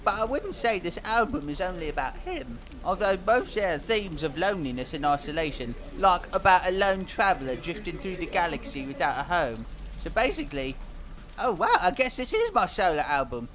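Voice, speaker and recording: A man plays a young cartoon band singer with a notably high voice. He is earnest and a bit dim, rambling hesitantly and naively as he answers interview questions. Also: very animated, normal speed, a bit bright, rough recording. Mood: naive, pleased. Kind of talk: scripted mock interview, fan dub.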